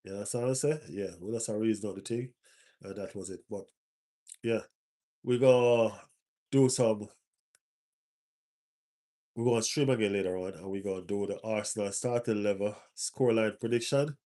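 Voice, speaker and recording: A man's voice: on the low side, moderate pace, pauses often, mellow. A man with a stuffy nose hosting a casual live stream as a football fan, repeating his phrases for emphasis.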